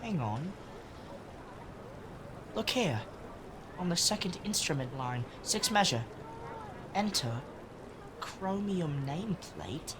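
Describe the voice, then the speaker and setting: An eager young urchin who leads the gang and looks out for it. The voice sits fairly low and swings about expressively, with some background ambience, in audio drama style. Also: female voice, very animated, takes it slowly, pauses often, background noise. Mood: curious. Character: Wiggins, earnest young street-urchin leader, eager and protective.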